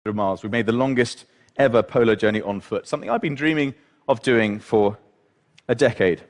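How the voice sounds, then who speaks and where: A man giving measured, reflective documentary narration. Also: mid-range voice. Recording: reverberant film clip.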